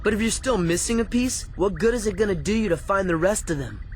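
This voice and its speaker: A man's voice: sits high, lively intonation, quick pace, faint music behind. A man playing a blunt, sceptical teenage tough guy in a cartoon video game, dry and needling.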